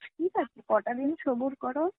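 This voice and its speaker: A woman's voice: sits high, normal speed, breathy edge, no echo. A woman with a Bengali accent chatting casually and intimately on a phone call, answering agreeably and briefly. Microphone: phone line. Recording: tinny narrowband phone call recording.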